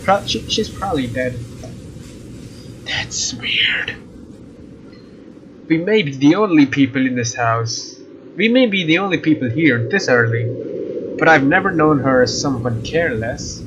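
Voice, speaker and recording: A man's voice: fairly high pitch, lively intonation, a little slow, pauses often. A young man doing a let's play, reading a visual novel aloud and adding casual commentary. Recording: dull lo-fi mic, faint noise.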